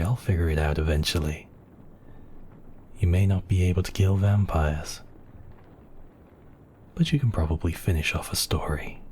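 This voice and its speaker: Casting: a man as an ancient-world vampire count, very low and unhurried, possessive but tender, hushed ASMR murmur. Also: slight background noise. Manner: slow, hushed, intimate murmur with whispery moments. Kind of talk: romantic ASMR roleplay.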